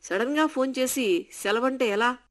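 A man's voice: very high voice, measured pace, a bit bright, pitched above the performer's own voice. Woman voicing a stern male office boss. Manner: curt, serious.

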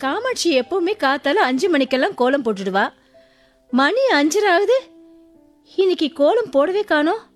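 A woman's voice: high-pitched, big pitch swings, moderate pace, faint music behind. A woman acting a middle-aged neighbour who fusses and calls out in alarm. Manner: fussing, alarmed calling out. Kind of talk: radio-style drama acting.

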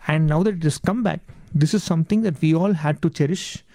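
A man who speaks Tamil natively, with a Tamil Indian accent and a mellow, creaky voice in a dry recording. He answers as an interview guest, measured and courteous, often restating a point for emphasis. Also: fairly high pitch, no echo.

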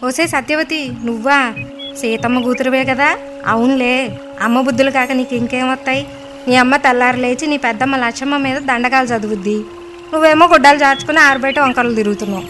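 A woman's voice: sits high, fast, noisy background, natural voice. A woman playing a bitter, domineering older village woman who rants and insults in coastal Andhra Telugu, in an audio drama. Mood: scornful scolding. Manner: ranting, insulting, confrontational. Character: foul-mouthed, scolding elder village woman, bitter and domineering. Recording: loud music under the voice.

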